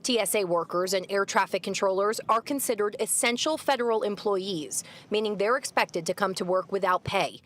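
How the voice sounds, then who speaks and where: A woman's voice: mid-range voice, normal speed, few pauses. A woman giving a live television news report on location at an airport. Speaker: TV field reporter. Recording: clear vehicle and ambience noise.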